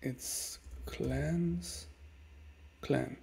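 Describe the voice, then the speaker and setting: Gravelly-voiced man with an Indian accent. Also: medium pitch, very slow, slight background noise. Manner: relaxed, many fillers and "okay"s, explains step by step. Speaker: tutorial host. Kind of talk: casual screen-recorded software tutorial. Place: dim room at home.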